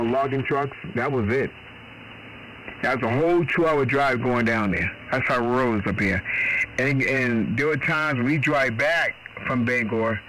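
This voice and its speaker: A man's voice: medium pitch, a bit bright, slight background noise, rough recording. A middle-aged man, chatting on amateur radio in a folksy, rambling, mildly salty way. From Boston. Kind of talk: casual radio chat.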